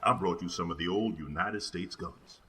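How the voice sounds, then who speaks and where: A man's voice: low-pitched, normal speed, pushed and bright, voice pushed down. A man voicing a bold escaped slave boatman, courteous as he addresses a navy captain in a historical story. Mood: polite, triumphant.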